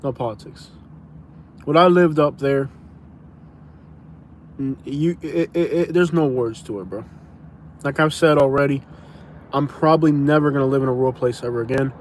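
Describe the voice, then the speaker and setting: A man's voice: mid-range voice, moderate pace, pauses often, a little hiss or hum. A young man from Florida, casually answering viewer questions on a live stream, rambling and restarting his sentences, calling people "bro". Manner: rambling, repeats himself and restarts, says "bro".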